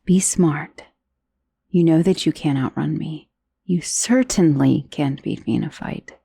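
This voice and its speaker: Woman acting a husky, intimate vampire lady. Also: low-pitched, unhurried, dark tone, dry recording, exaggerated voice. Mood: cold, commanding. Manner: intimate, soothing, often dropping to a breathy whisper. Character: ancient powerful female vampire, protective, self-assured, tenderly possessive lover. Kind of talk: ASMR romance roleplay.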